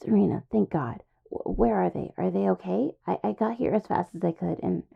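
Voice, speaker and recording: Husky, creaky, anxious young necromancer played by a woman. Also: medium pitch, a little fast, muffled recording. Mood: panicked, apologetic. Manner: stammering, hesitant, earnest. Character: anxious young student necromancer, devoted worried partner. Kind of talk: audio roleplay acting.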